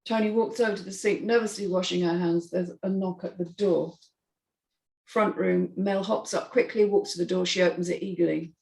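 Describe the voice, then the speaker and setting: Female screenplay narrator, lowish gravelly voice, scene-by-scene script reading, roomy sound. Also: steady tone, moderate pace, a little mellow.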